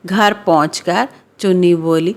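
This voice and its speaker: A woman's voice: lowish voice, fairly even intonation, unhurried, heavy background noise. A woman narrating a scripted children's story.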